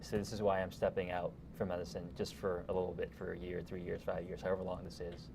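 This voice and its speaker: Male interview guest, mid-twenties, from California, low voice, quick conversational delivery, noticeable background noise. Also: monotone, gravelly. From California, United States. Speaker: interview guest, congressional candidate.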